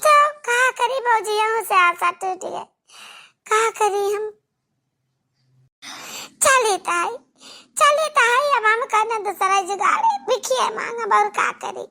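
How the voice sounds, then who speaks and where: A woman's voice: very high voice, takes it slowly, long pauses, sharp tone, exaggerated voice. A woman voicing a melodramatic, scheming rustic village woman in an Awadhi-accented comedy cartoon. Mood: desperate pleading.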